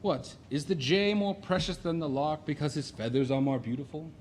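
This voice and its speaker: An actor playing a domineering, capricious husband in a Shakespearean comedy audio play, with a commanding, declamatory delivery. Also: male voice, on the high side, animated, normal speed, lightly acted. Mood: grandly cheerful. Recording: noticeable background noise.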